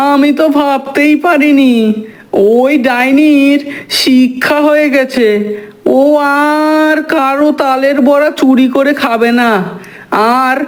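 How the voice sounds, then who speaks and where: A woman voicing a timid, obedient husband in a cartoon, fretful and meek. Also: sits high. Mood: admiring. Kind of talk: cartoon voice acting.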